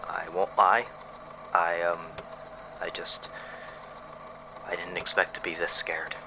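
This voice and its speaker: Man acting a low, halting captive on muffled tape. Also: level tone, full character voice. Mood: anxious, shaky. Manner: halting, hesitant, breathy sighs. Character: frightened, bitter male captive test subject planning escape. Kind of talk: audio drama recorded diary monologue. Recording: muffled lo-fi tape with clear noise.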